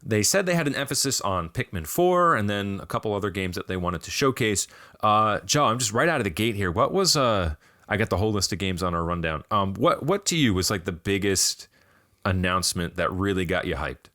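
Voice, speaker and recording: An American man with a slightly raspy voice, chatting casually and with some self-deprecation as the host of a video game podcast. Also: lowish voice, expressive, brisk, sharp tone, no room sound, clean studio sound.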